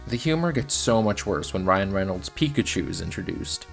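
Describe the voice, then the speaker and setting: A man with a fairly low, gravelly voice delivers scripted film commentary. He is blunt and sardonic, like a reviewer laying out complaints, with faint music underneath. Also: very animated, normal speed. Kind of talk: scripted video essay commentary.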